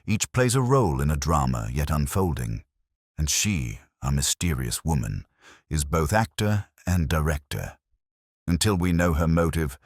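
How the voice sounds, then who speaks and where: A man voicing a keen, commanding gentleman detective in an audiobook, grave and intense, with formal, deliberate phrasing. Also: very deep voice, no echo, clean studio sound, exaggerated voice. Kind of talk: audiobook character dialogue.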